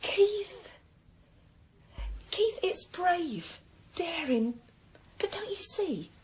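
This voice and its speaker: An actress playing a criminal's loving, anxious and gently sceptical girlfriend in a radio thriller, pleading and questioning. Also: very high-pitched, big pitch swings, extremely slow, slight background noise, full character voice. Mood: worried, pleading.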